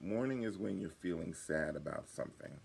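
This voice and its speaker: An older man with a low, gravelly voice reads a storybook to children. His delivery is animated, swinging up and down in pitch. He often steps out to explain and ask the listener questions, like a grandfather would. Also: moderate pace. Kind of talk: reading a children's story aloud.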